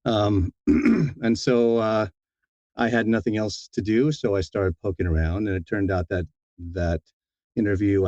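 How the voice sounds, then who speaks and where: Low, mellow middle-aged man, dry sound. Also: dry recording. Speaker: interview guest, audiobook narrator. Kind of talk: casual conversational storytelling. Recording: slightly dull, dry audio.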